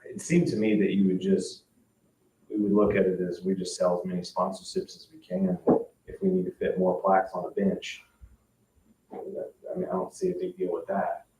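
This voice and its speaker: A man's voice: low voice, animated, normal speed, vocal fry, mellow. A man who is a board member, chatting in an informal, easygoing way at a meeting. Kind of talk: casual meeting discussion. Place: indoor public board meeting room. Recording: echoey room, distant mic, background noise.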